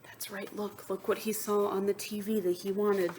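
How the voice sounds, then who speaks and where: A woman teacher reading a picture book aloud to young students, expressive, moving between emphatic reading and soft, wondering asides. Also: mid-range voice, normal speed, a little hiss or hum. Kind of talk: children's read-aloud with asides and questions to students.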